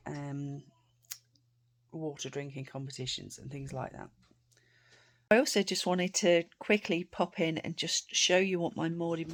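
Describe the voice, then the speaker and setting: British woman, low voice, slightly croaky, rambling casual vlog chat. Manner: chatty, rambling with many fillers and restarts. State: a bit croaky. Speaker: vlogger.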